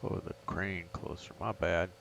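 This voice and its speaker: Gravelly man doing game commentary. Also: low-pitched, level tone, unhurried, loud music behind the voice. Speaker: gaming guide host. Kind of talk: casual game playthrough commentary. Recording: voice-over with game noise behind. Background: video game sounds.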